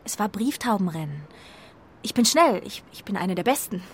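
An actress playing a proud but shaken young homing pigeon in a children's radio play, earnest and hesitant, often dropping into a hush. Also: female voice, mid-range voice, big pitch swings, moderate pace, slightly rough.

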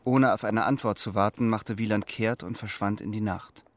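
A man's voice: lowish voice, steady tone, moderate pace, close to an everyday voice. An actor playing an uneasy Cold War double agent who tells his own story in a crime radio play. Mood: brooding, tense. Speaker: actor, narrator and lead role. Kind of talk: first-person crime radio play narration.

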